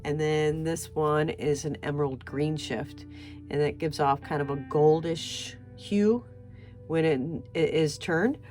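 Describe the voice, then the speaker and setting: A woman's voice: deep voice, takes it slowly, a little mellow, faint music behind. An older woman who sounds nasal and stuffed-up from a sinus infection, chatting patiently through a painting tutorial step by step. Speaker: artist demonstrating fluid painting. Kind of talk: casual tutorial narration.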